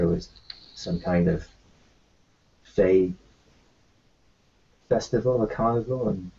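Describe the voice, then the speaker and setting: Halting, gravelly-voiced man roleplaying. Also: deep voice, warm tone. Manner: hesitant, halting speech with many ums and restarts. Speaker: tabletop player. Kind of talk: in-character roleplay as a doctor. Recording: muffled lo-fi recording.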